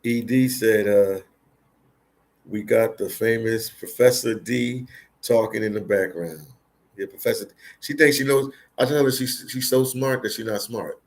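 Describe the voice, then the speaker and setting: A man's voice: lowish voice, a little slow. A middle-aged American man hosting a casual livestream, reading viewer comments aloud in a laid-back, slangy, teasing way. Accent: American English.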